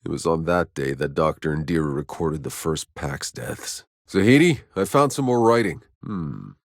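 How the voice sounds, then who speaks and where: A man's voice: extremely low pitch, animated, moderate pace, dry recording. An actor playing a hardened, weary male soldier in a sci-fi video game, speaking in a terse, dry, sardonic way. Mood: intent, curious. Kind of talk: scripted game voice lines.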